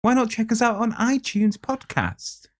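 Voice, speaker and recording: A man's voice: extremely high pitch, big pitch swings, a little slow. A man co-hosting a podcast with casual banter. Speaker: podcast co-host.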